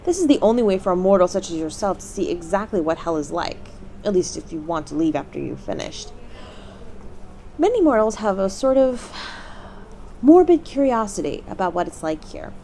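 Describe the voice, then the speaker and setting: Woman playing a bubbly, lively demon hotel receptionist. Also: mid-range voice, normal speed, slight background noise. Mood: bright, helpful. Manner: bubbly, courteous customer-service patter. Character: cheery demon hotel receptionist, eager and polite, salesy. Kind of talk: scripted audio roleplay, addressing the listener as a guest.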